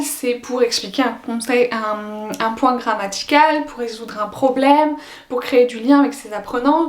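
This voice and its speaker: A young woman language teacher explaining her views to camera, thoughtful, pausing often on fillers. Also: on the high side, roomy sound. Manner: thoughtful, hesitant with frequent fillers. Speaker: French as a foreign language teacher.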